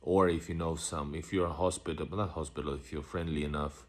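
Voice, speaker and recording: A man's voice: extremely low pitch, steady tone, brisk. A man hosting a casual live-stream Q&A as a vlogger, rambling loosely with many restarts and fillers. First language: Russian. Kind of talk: casual live-stream chat answering comments.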